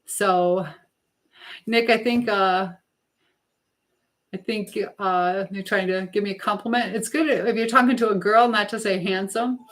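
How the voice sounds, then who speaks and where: A woman talks informally to her live-stream audience while working in her kitchen. Her delivery is chatty and meandering, drifting between what she is making and the people in the chat. Her voice sits at an ordinary middle pitch for a woman. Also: steady tone, measured pace, a bit bright. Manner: chatty, meandering, greets viewers by name. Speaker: livestream host. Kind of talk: casual live-stream chat while cooking, reading out comments.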